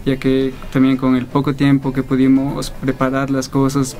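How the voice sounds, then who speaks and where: A man's voice: medium pitch, completely flat delivery, normal speed, warm tone. A young man in his twenties from Ecuador, a band guitarist, answering interview questions casually with many fillers in a music studio. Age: 20s.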